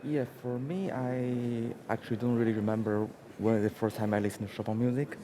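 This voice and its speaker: A young man from China, talking as a pianist guest. He speaks in a relaxed, easygoing way. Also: mid-range voice, a little fast, slight background noise. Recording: crowd murmur behind.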